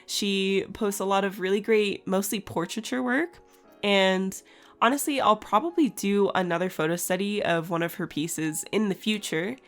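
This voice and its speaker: Young woman with a raspy morning voice, chatty art vlog, soft music playing behind. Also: medium pitch, normal speed. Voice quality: raspy, scratchy. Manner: chatty, laughs often. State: just woke up. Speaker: art channel host. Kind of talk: casual vlog voice-over.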